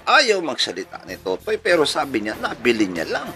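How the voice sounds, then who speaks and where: A man's voice: mid-range voice, huge pitch swings, a little fast, pushed and bright. A man with a Filipino accent telling a comic story as a host, in a playful, chuckling manner full of rhetorical questions. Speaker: radio-style channel host. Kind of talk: comedic storytelling. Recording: music playing behind the voice. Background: music.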